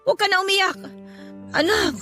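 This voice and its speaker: Woman acting a high-voiced, blunt but remorseful mother. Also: normal speed, pushed and bright. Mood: apologetic, soothing. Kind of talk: Filipino radio drama acting. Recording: faint music under the voice.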